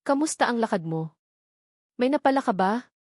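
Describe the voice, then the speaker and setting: A woman narrator voicing a gentle, devout, ailing mother in an audio drama, tender and concerned. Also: a little high, expressive, moderate pace, dry recording, studio quality.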